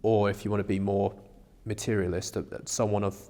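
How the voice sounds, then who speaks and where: A man's voice: fairly low pitch, level tone, brisk, dark tone. A man in a casual, informal discussion, thinking ideas through reflectively as a podcast co-host. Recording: long reverberant room sound.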